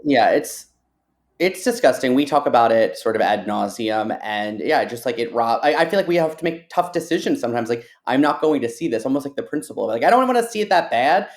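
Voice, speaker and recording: A man's voice: mid-range voice, lively intonation, a little fast. A man hosting a podcast, chatting casually and giving his opinions in a loose, talkative way. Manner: chatty, opinionated, uses "like" often. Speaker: podcast host. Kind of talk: casual interview chat.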